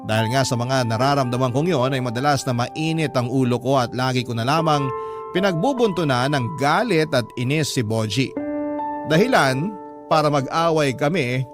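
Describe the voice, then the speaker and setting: A man's voice: mid-range voice, lively intonation, normal speed. A man reading scripted first-person narration for a sentimental radio drama. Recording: clear music bed behind the voice. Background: music.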